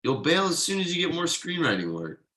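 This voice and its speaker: A man in a script table read playing a defensive, evasive husband and father, conversational and a little halting. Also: a little high, big pitch swings, pushed and bright. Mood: defensive, exasperated. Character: defensive, evasive middle-class husband and father writing ad copy.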